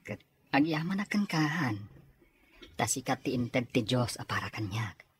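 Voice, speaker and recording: An actor playing a mild, apologetic working husband in an Ilocano radio drama, with a husky voice and a Filipino accent. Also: male voice, mid-range voice, sing-song, normal speed, a little hiss or hum, lightly acted. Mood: earnest, grateful.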